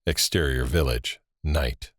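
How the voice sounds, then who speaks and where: A man's voice: a bass voice, slow. A man reading scripted, screenplay-like narration for an audio drama. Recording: faint ambient noise under narration.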